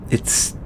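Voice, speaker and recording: Slow, halting, weary god played by a man. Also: pushed and bright, slight background noise, full character voice. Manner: halting, sighing, with drawn-out pauses. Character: weary, burdened male god confiding in his lover. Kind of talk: audio roleplay monologue, intimate dramatic acting.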